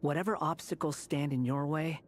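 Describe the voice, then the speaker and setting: A man's voice: a little high, moderate pace, a little hiss or hum, lightly acted. An actor playing a proud, protective young male warrior in a video game, curt and challenging. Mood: defiant, resolute.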